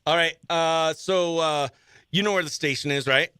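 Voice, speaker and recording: Bright, chummy American male radio host. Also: on the high side, moderate pace, clear, resonant tone. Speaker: morning radio show host. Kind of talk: upbeat radio banter and call-in game hosting. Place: small radio station studio.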